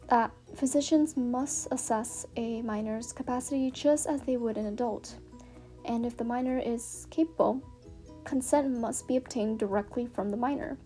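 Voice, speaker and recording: A young woman from Canada reading a personal essay aloud in a measured, earnest way. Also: fairly high pitch, dark tone.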